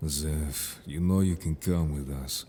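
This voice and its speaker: A male actor as a protective merfolk man with an extremely low, dark, mellow voice. He speaks in measured, formal phrases with calm assurance, like someone worldly and sure of himself, in an audio drama. There is some noise behind him. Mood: earnest, urging. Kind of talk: audio roleplay drama acting.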